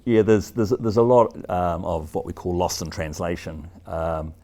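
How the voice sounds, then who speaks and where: A man's voice: low-pitched, normal speed, dark tone. A middle-aged man with a New Zealand accent giving an informal, anecdotal advisory talk in a colloquial way as a legal expert. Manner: conversational, colloquial, acts out quoted exchanges. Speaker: trademark law expert.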